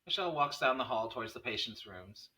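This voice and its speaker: A man's voice: mid-range voice, animated, brisk, pushed and bright. A man narrating a screenplay, reading out scene headings and stage directions as a scripted narration.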